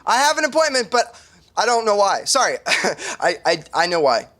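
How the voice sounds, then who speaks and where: A man's voice: high voice, very animated, moderate pace, a bit bright. Nervous, stammering young man. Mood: flustered, anxious. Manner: stammering, apologetic. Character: nervous, awkward, rambling young man, Michael, a sitcom roommate. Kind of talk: sitcom acting.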